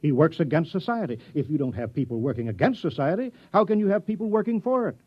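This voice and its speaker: Fussy small-town mayor, wildly swinging pitch. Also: male voice, big pitch swings, quick pace, husky, no echo. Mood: insistent. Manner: folksy, hesitant, cajoling. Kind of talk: 1950s radio comedy acting. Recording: dull, dry vintage radio recording.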